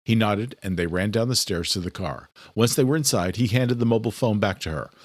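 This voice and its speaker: A man's voice: lowish voice, brisk, dry recording, studio quality. A man reading a horror thriller audiobook in a scripted, dramatic narration style.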